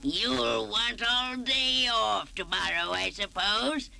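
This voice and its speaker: Gruff old male miser, played by a woman, slow and gravelly, old radio storybook style. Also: fairly high pitch, pushed and bright, heavily acted. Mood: grumbling. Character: cross, tight-fisted old man, gruff miser. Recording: vintage 78rpm children's record.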